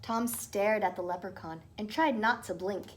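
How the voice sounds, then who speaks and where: A woman's voice: mid-range voice, normal speed, a little hiss or hum. A woman narrating a children's storybook as a teacher reading aloud.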